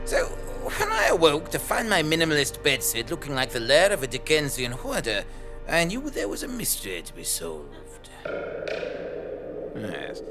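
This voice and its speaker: An actor playing a pompous, self-regarding investigative journalist narrating a scripted comic audio drama. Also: male voice, on the high side, wildly swooping pitch, normal speed, music in the background. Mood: self-important. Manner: pompous, theatrical.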